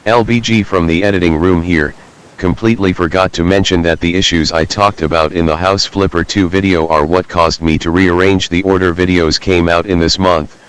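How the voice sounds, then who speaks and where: A gaming channel host tacking on a brisk, matter-of-fact note. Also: male voice, low voice, steady tone, a bit bright, clear, resonant tone, low-quality recording. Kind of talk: quick add-on note.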